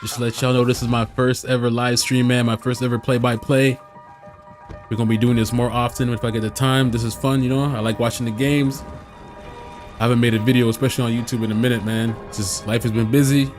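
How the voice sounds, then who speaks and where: A man's voice: medium pitch, little pitch movement, fast talker, a little mellow, soft background music. A man hosting a livestream, chatting informally and slangily while calling play-by-play. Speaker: livestream host doing amateur play-by-play. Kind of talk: casual livestream chat and game commentary.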